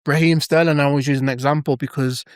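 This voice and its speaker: A man's voice: a little high, steady tone, quick pace, clear, resonant tone, dry recording, clean studio sound. A man co-hosting a casual podcast, explaining earnestly with examples and many restarts. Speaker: podcast co-host.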